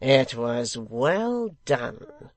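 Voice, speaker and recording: A scheming secretary from a storybook, voiced by a male narrator. He speaks very slowly and mildly, with pastor-like, insinuating politeness over hidden malice. The sound is dry. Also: medium pitch, sing-song, no echo. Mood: approving. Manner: pastor-like, mild, insinuating, drawn-out. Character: smooth, scheming, falsely mild male secretary.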